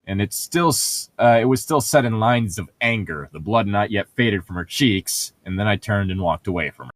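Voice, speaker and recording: A man's voice: fairly low pitch, lively intonation, normal speed, no echo. A man co-hosting a read-along, blunt and sardonic, swearing freely as he moves between casual banter and reading aloud. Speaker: co-host of a group read-along show. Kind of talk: casual chat mixed with reading a novel aloud.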